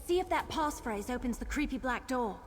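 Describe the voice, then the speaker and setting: An actress playing a spirited, impatient young wood elf adventurer in a fantasy game, with a pert, emphatic delivery. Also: female voice, high-pitched, lively intonation, a little fast. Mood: teasing, impatient. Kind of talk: scripted fantasy game acting. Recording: long reverb, faint noise.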